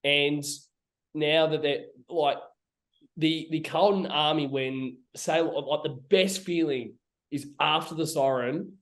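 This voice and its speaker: A young man with an Australian accent and a croaky voice, hosting a casual chat in an emotional way, often stumbling and restarting his sentences. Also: fairly high pitch, fairly even intonation, slow, fairly bright tone. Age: young adult. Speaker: podcast host.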